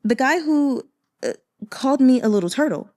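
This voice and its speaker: A simple, earnest man with a childlike way of speaking, voiced by a woman reading an audiobook. His phrases are blunt and halting, in a soft-edged, mellow tone with lively pitch. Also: dry recording, clean studio sound. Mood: puzzled.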